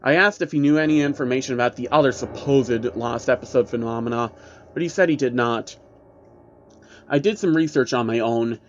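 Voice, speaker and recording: A man's voice: mid-range voice, fairly quick, muffled recording, a little hiss or hum. A young man reading a scripted first-person horror story as its narrator. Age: young adult.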